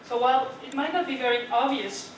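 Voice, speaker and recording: A woman's voice: high-pitched, fairly quick. A young Dutch woman giving a prepared speech to a club, chatty and putting questions to the audience. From Netherlands. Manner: chatty, asks the audience questions, often adds "right?". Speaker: club speaker. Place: meeting room. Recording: echoey room from far off with noise.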